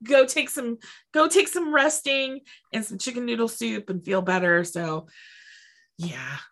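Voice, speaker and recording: A woman co-hosting a veterinary podcast in casual chat, acting out little imagined quotes in an animated way, with a slightly stuffy nose. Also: on the high side, a little slow. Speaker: podcast co-host; veterinary technician.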